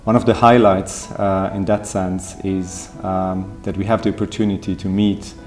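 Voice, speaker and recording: A man's voice: low voice, flat delivery, normal speed, darkish tone, soft background music. A man from Switzerland who works in humanitarian aid, speaking in a seated interview and searching for his words. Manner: searching for words, repeats himself. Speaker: aid donor staffer. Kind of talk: interview testimony. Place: indoor room with curtain backdrop.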